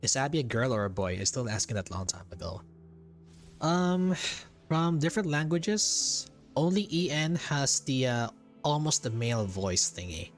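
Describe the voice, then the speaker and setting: Male streamer, Filipino accent, native Tagalog: mid-range, chatty, joking, hesitant commentary over soft game music. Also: mid-range voice, big pitch swings, normal speed. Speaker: streamer playing and reacting to a game.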